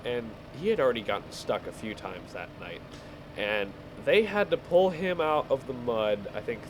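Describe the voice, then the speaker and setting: A man casually telling rambling stories while he plays a game, halting and easily distracted. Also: mid-range voice, poor audio. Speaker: gamer telling stories over gameplay. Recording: clear background vehicle engine noise. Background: game vehicle engine sounds.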